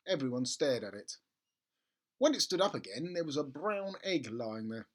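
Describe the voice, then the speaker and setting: A storybook reader narrating a children's tale in an expressive, theatrical way. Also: mid-range voice, normal speed. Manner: animated, theatrical.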